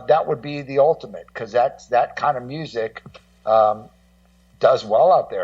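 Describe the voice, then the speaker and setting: A middle-aged American man talking casually as a guest co-host in a radio discussion, in a rambling, halting way full of "you know". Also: on the high side, distant mic, dull audio. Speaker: guest co-host and rock music journalist.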